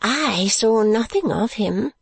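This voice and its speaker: A woman's voice: fairly high pitch, lively intonation, slow pace, vocal fry, breathy edge, no echo, pitched above the performer's own voice, full character voice. Woman acting a chirpy, sly little lady. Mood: chatty. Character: sly, chatty little spinster friend, good-humoured. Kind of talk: dramatised classic novel reading.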